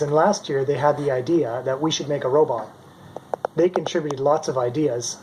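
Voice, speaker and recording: A man's voice: on the high side, a little mellow, slight background noise, rough recording. A middle-aged man casually narrating a demonstration as a hobbyist maker. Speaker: maker presenting his homemade robot.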